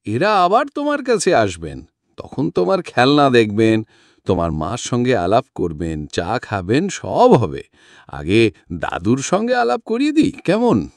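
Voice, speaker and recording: A man voicing a genial, courteous gentleman of an old household in an audiobook, polite and obliging in manner. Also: mid-range voice, wildly swooping pitch, a little slow, no echo, clean studio sound. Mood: coaxing, polite.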